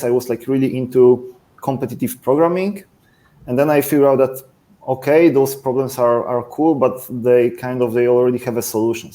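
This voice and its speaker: A man in his thirties, a startup CTO, explaining his career plainly on a video call in a relaxed way with casual fillers. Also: mid-range voice. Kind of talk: panel discussion answers.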